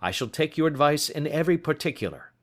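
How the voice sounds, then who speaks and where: An earnest young Victorian gentleman voiced by a male reader, with a slightly raised, varied pitch. He is a courteous, troubled audiobook character who speaks in a measured, polite way. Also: animated, natural voice.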